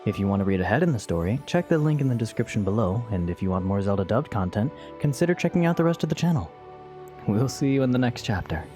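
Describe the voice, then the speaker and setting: A man's voice: on the low side, animated, fast talker, dark tone. A man delivers a scripted outro as a channel announcer. He is upbeat and projects his voice, with music behind him.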